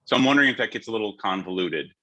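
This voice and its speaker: Male panelist, rather low but very bright voice, informal feedback. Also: lively intonation, rapid-fire, lo-fi sound. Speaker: actor on a reading panel. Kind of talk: casual feedback.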